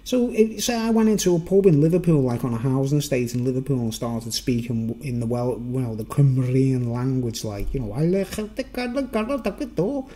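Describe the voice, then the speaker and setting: A man's voice: medium pitch, lively intonation, moderate pace, hardly any pauses, mellow. A man from the Wirral with a Merseyside accent, giving an informal, digressive, unscripted monologue to viewers and often tagging on questions for agreement. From Wirral, north-west England. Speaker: vlogger.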